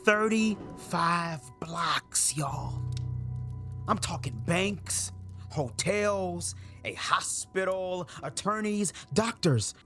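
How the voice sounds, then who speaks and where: A spoken-word performer delivering a dramatic monologue in an emphatic, deliberate way, with short punchy phrases. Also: mid-range voice, bright, forceful tone. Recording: voice over a clear music bed. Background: music.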